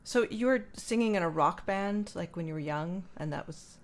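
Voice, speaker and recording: Low-pitched woman host asking thoughtful questions. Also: normal speed. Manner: thoughtful, rambling questions with many "you know"s. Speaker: podcast host, violinist. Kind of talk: interview questions.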